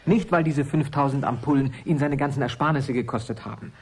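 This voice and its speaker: An actor playing an urgent, secretive scientist's assistant in a radio play, earnest and persuasive, with a husky voice. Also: male voice, medium pitch, a little fast, darkish tone. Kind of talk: German radio play acting.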